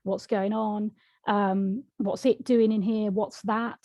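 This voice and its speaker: Brief: frank woman author in interview, mellow tone, dry close sound. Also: mid-range voice, little pitch movement, slow, no room sound. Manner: reflective, frank, laughs often. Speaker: author and guest. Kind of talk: conversational interview answers.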